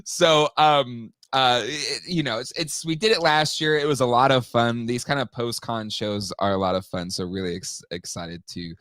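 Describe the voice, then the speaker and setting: A man's voice: medium pitch, moderate pace, hardly any pauses, fairly bright tone, dry recording. A man in his thirties or forties co-hosting a live toy show, slightly nasal from a cold, chatty and upbeat with many fillers. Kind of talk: casual live-stream chat.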